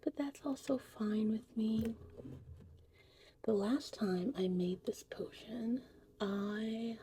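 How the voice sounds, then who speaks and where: Woman whispering slowly, dark soft noisy ASMR. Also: on the high side. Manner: soothing, slow, soft-spoken, mostly whispering. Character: gentle mystical witch teaching potions. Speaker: ASMR creator playing a witch who teaches potion making. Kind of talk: whispered ASMR roleplay, guided breathing and narration. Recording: clearly noisy, vintage VHS-tape styled audio.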